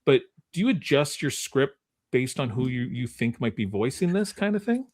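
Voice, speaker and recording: A man hosting a podcast in a casual, chatty way, haltingly working his way into questions and repeating words. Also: mid-range voice, sing-song, a little mellow. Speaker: podcast host. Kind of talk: casual interview chat.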